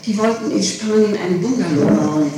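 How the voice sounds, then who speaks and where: A woman's voice: mid-range voice, normal speed, dark tone. A woman gives spontaneous testimony under questioning, often restating her words. She is heard from far off in a very echoey room, on a noisy old 1960s tape. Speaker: person being questioned in an interrogation. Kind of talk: interrogation testimony, spontaneous answers. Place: echoey room, recorded from a distance.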